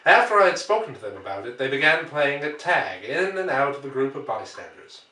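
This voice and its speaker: Bright-voiced man narrating in a roomy space. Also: mid-range voice, very animated, fairly quick. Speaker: audiobook reader. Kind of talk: dramatic literary narration. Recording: roomy, distant microphone.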